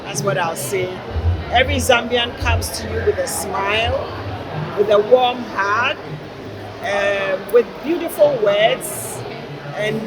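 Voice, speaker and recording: A woman from Ghana's Volta region, a guest speaking warmly and earnestly in an interview with many fillers. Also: mid-range voice, low-quality recording. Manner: warm, earnest, with frequent fillers. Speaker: guest, kente weavers union director. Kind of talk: interview conversation. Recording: loud music and crowd behind.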